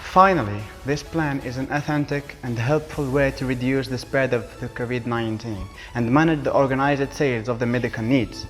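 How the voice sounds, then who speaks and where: A young man, formally reading a rehearsed pitch to a jury. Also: fairly even intonation, fairly quick, few pauses, fairly bright tone, long reverb tail, soft background music. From Algeria. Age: 20s. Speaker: student presenter addressing a jury. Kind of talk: reading a prepared pitch presentation.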